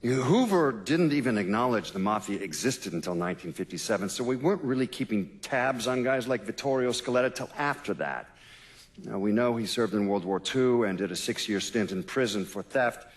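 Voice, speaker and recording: An actor playing a matter-of-fact FBI agent recalling old cases in a documentary-style game interview. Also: male voice, fairly low pitch, expressive, close to an everyday voice. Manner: dry, explanatory, deliberate.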